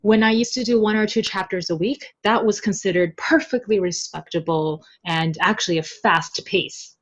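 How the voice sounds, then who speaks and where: Female podcast guest, mid-pitched voice, casual explanatory chat, slightly dull low-fidelity recording. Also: normal speed. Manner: chatty, explanatory, informal. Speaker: podcast guest, web novel translator.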